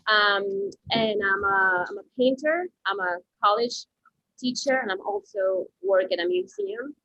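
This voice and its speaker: Venezuelan-born woman on a muffled video call, gravelly voice, bouncy pitch, restarts a lot. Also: lively intonation, sharp tone, no room sound. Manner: halting, searching for words with many restarts. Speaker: painter and art educator, guest. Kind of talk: casual interview answers. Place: home.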